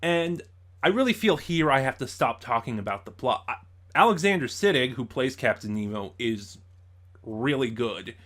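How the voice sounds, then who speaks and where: A man's voice: medium pitch, lively intonation, moderate pace, fairly bright tone. A man reviewing in an informal commentary style, thinking aloud and correcting himself as he goes. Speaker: audio drama reviewer.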